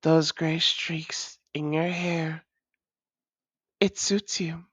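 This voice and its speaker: A woman playing a wry, doting female vampire lover in an intimate audio roleplay, speaking in a measured, formal way with teasing endearments. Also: sits low, little pitch movement, a bit bright, breathy edge, no room sound, heavily acted. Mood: flustered, tender.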